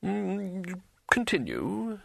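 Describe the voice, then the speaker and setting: A man voicing an effete, sly astronomer ruler in an audiobook, with hushed, drawn-out, stammering speech. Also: high voice, wildly swooping pitch, gravelly, dry recording, voice pushed up, full character voice. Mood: mild, indulgent. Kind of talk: audiobook character voice.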